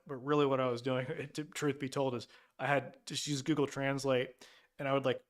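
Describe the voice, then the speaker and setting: Guy doing a live q&a, chatty, lots of ums and restarts, mid voice. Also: medium pitch. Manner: loose and conversational, many fillers and restarts, reads out viewer questions. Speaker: immigration lawyer hosting a live Q&A. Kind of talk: casual live-stream Q&A.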